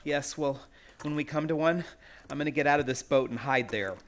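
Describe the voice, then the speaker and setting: A man's voice: a little high, lightly acted. Man acting a nervous, desperate young chemist. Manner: hesitant, nervous, halting. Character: anxious, desperate young male chemist, polite but tormented. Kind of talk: radio play acting.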